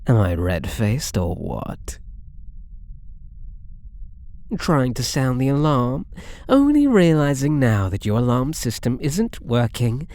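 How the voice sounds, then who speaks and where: Guy doing a british catboy thief for asmr roleplay, possessive n teasing, slow soft-toned mellow voice, super close dry sound. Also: mid-range voice, big pitch swings, no room sound. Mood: smug, playful. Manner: coy, playful, mock-innocent purring taunts.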